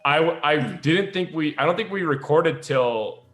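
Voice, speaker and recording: A young adult man, a podcast host and writer, chatting casually in an animated, rambling, self-deprecating way. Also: fairly high pitch, normal speed, reverberant. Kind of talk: casual chat.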